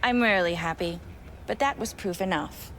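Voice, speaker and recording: Game role, female actor: jaded woman schemer, sardonic and dismissive, medium pitch. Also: normal speed, fairly bright tone, slight background noise, natural voice. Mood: scornful, businesslike. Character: jaded, scornful woman plotting a gang takeover. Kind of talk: video game voice acting.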